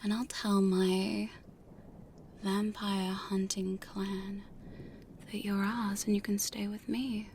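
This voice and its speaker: A woman's voice: medium pitch, little pitch movement, a little mellow, a little hiss or hum. A woman playing a teasing, domineering female vampire hunter in a mostly whispered, seductive ASMR roleplay. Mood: teasing, menacing. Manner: slow, breathy, seductive, commanding. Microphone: close studio condenser microphone.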